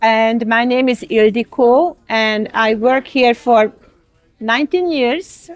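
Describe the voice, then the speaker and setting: A woman in her sixties from Hungary, speaking English with a Hungarian accent as a diner employee, frank and unhurried. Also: on the high side, no echo. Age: 60s. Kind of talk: casual interview.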